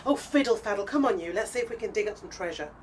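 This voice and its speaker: A cheerful and practical eccentric lady voiced by a woman in an audio drama. She talks quickly, with a chatty, fond manner. The recording carries clear noise. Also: medium pitch, expressive, natural voice. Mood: cheerful, brisk. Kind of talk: scripted audio drama acting.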